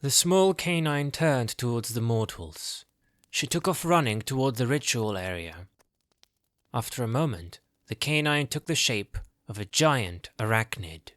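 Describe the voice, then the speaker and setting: A man narrates a scripted fantasy story. His voice sits at an average pitch and is recorded close and dry, with no room sound. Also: lively intonation, a little slow, a bit bright, no room sound.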